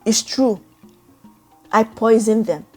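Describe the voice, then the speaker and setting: A woman's voice: fairly high pitch, slow, breathy edge, soft background music. A woman voicing a young maid in a story, bitter and guilt-ridden, confessing brokenly. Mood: broken, remorseful. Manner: hushed, breaking, anguished confession.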